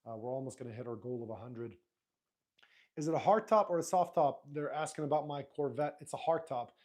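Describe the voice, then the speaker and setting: Male live stream host, native Arabic speaker, laid-back rambling chat, mid-pitched voice. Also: brisk. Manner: relaxed, rambling, frequent restarts and fillers. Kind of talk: casual live stream chat, reading viewer comments.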